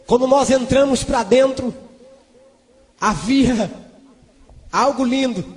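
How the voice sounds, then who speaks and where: A man's voice: extremely high pitch, takes it slowly, a little mellow. A man with a Brazilian Portuguese accent preaching a Pentecostal testimony in a fervent, exclamatory manner. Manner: fervent, exclamatory, breaking into tongues. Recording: long hall reverberation.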